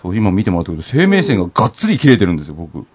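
A man's voice: sits low, wildly swooping pitch, rapid-fire, husky. A man hosting a podcast with casual comedic banter, talkative, joking and self-deprecating. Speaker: podcast host.

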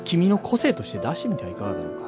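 An earnest bartender, played by a man, hands out solemn, preachy advice in a halting delivery. His pitch swings widely over prominent music, in dull lo-fi audio, as part of an improvised radio skit. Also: huge pitch swings. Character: earnest male bartender dispensing philosophical life advice. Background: prominent background music.

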